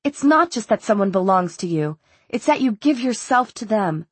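A woman's voice: mid-range voice, very animated, normal speed, breathy edge, dry recording. A woman voicing a distraught, defiant teenage heroine in an audiobook, speaking with accusing emphasis.

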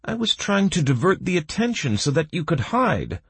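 A man's voice: fairly high pitch, sing-song, normal speed, husky, dry recording, voice pushed up, exaggerated voice. A man voicing a defensive prisoner who earnestly rattles off grand excuses in a fantasy audiobook. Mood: protesting. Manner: rattles off grand self-justifications.

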